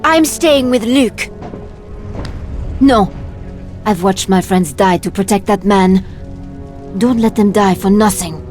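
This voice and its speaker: A loyal female resistance fighter voiced by an actress in a dramatic game scene. She speaks slowly, firm and impassioned at a middle pitch, over faint music. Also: darkish tone, lightly acted. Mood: resolute, pleading. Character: loyal, resolute resistance woman. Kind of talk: dramatic video game acting.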